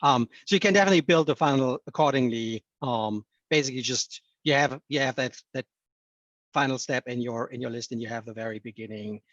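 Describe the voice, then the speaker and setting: A man's voice: mid-range voice, brisk, a bit bright, no room sound, highs cut off. A man answering questions on a webinar panel, hesitant, with many ums and restarts.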